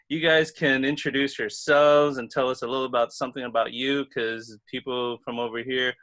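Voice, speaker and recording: A man's voice: mid-range voice, sharp tone, poor audio. A man hosting a podcast, interviewing a group of young guests in a casual, playful way. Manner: upbeat, playful teasing. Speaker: podcast host.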